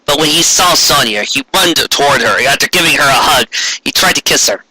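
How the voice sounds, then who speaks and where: A man's voice: a little high, expressive, a little fast, sharp tone, slightly rough, dry recording, rough recording. A man narrating a story as a fan fiction reader, in a comic, dramatic reading style.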